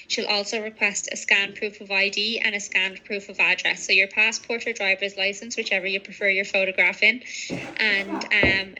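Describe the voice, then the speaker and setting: A woman's voice: mid-range voice, pushed and bright, a little hiss or hum, poor audio. A woman working as a legal adviser, methodically explaining procedures to a client on a professional call. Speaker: legal adviser on citizenship applications.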